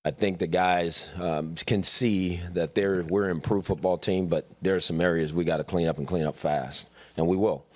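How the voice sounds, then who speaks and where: A man speaking as a football head coach after a game, in a halting, measured, subdued way. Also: low-pitched, steady tone. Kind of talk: post-game press remarks.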